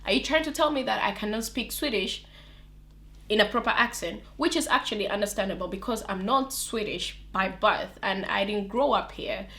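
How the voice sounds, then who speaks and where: Brief: young woman with an accent, animated vlogger, laughs and restarts a lot, talking to camera. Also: mid-range voice, moderate pace. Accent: non-native English accent. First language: English counts as mother tongue, not from England or America. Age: young adult. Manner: chatty and animated, laughing often, with many restarts. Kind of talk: casual talk to camera.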